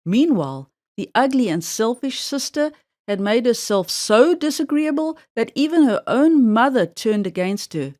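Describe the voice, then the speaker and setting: A woman reading a fairy tale aloud for children in an expressive, measured storybook style. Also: on the high side.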